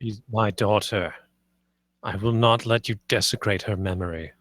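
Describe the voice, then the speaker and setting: An actor at a script table read playing a grief-stricken, dying father, resolute but failing, with halting, strained speech. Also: on the low side, steady tone, a bit bright, dry recording, close to an everyday voice. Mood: grave defiance. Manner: halting, strained, breaking into near whispers.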